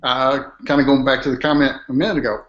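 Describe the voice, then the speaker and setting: A man's voice: medium pitch, little pitch movement, fast talker, a bit bright. A man in his fifties with a Midwestern American accent, speaking frankly as a guest in a casual panel chat. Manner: frank, plainspoken. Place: room with bookshelves. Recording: roomy, dull webcam audio.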